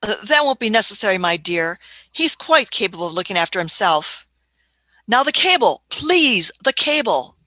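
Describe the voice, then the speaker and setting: A woman's voice: medium pitch, sing-song, moderate pace, pushed and bright, dry recording. A woman in a radio play acting an eccentric, imperious older time traveller who is fussy, hesitant and repeats her phrases. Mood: brisk, businesslike.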